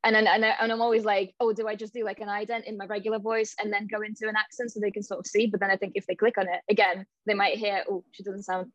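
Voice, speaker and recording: A woman's voice: mid-range voice, little pitch movement, fast, hardly any pauses, a bit bright, no echo. A woman actor from Eastern Europe, asking a casting question in a tentative, apologetic way over a video call. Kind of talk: workshop question. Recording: dull lo-fi video call audio.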